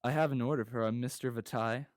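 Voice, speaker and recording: A man's voice: medium pitch, steady tone, quick pace, close to an everyday voice. A young man voicing a cheerful, polite coffee delivery guy. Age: young adult.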